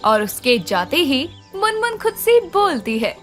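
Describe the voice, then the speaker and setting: A woman's voice: sits high, very animated, unhurried, fairly bright tone, faint music behind. A woman narrating a scripted children's story.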